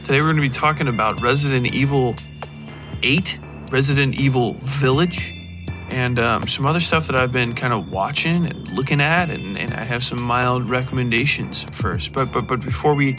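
A man's voice: medium pitch, moderate pace, over music. A man hosting a solo podcast in a casual, rambling and self-deprecating way, often wandering off on digressions. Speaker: podcast host.

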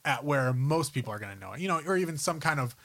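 A man's voice: fairly high pitch, lively intonation, quick pace, a bit bright, dry recording. A man co-hosting a podcast, chatting informally and giving opinionated, lengthy explanations about films and games. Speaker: podcast host.

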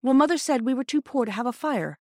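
A woman voicing a proud, earnest young schoolboy in a storybook, defiant and quick to justify himself. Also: high-pitched, animated, dry recording, clean studio sound, voice pushed up.

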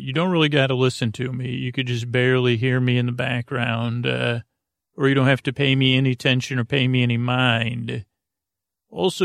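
Creaky, rambling male sleep podcaster. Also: medium pitch, no echo, studio quality. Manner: meandering, halting, full of fillers and restarts; soft, lulling, unhurried.

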